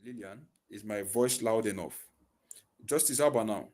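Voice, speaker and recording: Low-voiced man teaching on a call. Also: moderate pace, a little mellow. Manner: asks leading questions and fills in the answers. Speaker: physics tutor. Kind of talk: online lesson. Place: online video call. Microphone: headset.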